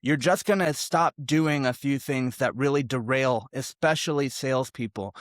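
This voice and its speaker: A man's voice: medium pitch, moderate pace, dry recording, studio quality. A man in his thirties coaching salespeople on sounding confident, speaking emphatically and over-articulating his words. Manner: emphatic, over-articulated, demonstrates example lines. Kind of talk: direct-to-camera sales coaching.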